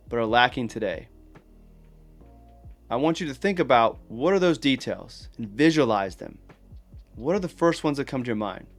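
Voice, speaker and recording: Man guiding a meditation over soft music. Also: mid-range voice. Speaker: guided meditation teacher. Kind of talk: guided meditation, gentle instruction with pauses.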